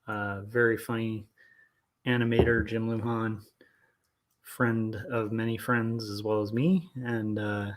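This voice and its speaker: A man in his thirties, a comic artist chatting casually on a live stream, talking quietly and loosely so he won't wake his household. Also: fairly low pitch, flat delivery, takes it slowly. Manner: soft-spoken, keeping his voice down late at night; loose and rambling, full of likes and ums. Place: home studio at night.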